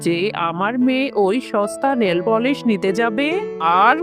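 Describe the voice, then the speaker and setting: Woman as haughty high-voiced tigress mother. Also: animated, a little slow. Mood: indignant. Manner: scornful. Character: haughty, indignant tigress mother, quick to insult. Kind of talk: children's cartoon dialogue. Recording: music behind the voice. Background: music.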